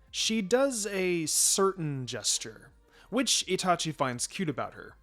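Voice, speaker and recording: A man's voice: a little high, fairly bright tone. A man narrating a fan fiction story, reading scripted prose aloud. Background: music.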